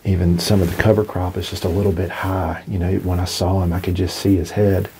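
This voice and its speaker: A man's voice: deep voice, level tone, a little fast, warm tone, a little hiss or hum, low-quality recording. A young man hosting a hunting show, talking his plan through in a plainspoken, easygoing way from inside a small blind. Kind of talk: casual on-camera hunting talk.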